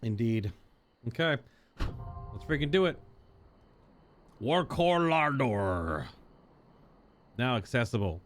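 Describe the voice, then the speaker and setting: A man's voice: mid-range voice, animated, takes it slowly, long pauses, faint music behind. A man giving casual live reactions as he plays a game, laconic, often murmuring short interjections.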